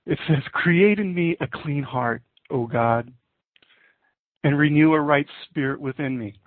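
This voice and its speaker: A middle-aged man preaching a sermon and reading scripture in an earnest, deliberate way with pauses. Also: a little high, no room sound. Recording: muffled narrowband, phone-like audio.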